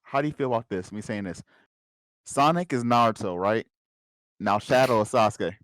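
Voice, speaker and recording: A man's voice: lowish voice, lively intonation, moderate pace, a bit bright, no room sound. A man in casual banter, breaking in with slang and insistent repeated questions as a podcast co-host.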